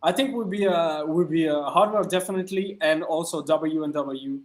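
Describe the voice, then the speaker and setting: A man from France, a music producer answering interview questions in halting English full of fillers. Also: on the high side. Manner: halting, many "uh" fillers and restarts, simple non-native phrasing.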